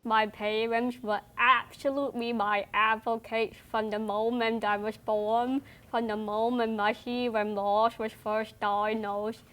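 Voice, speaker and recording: Slow, flat-toned young woman. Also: fairly high pitch. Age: 20s. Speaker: young adult sharing her life story. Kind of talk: personal testimonial to camera.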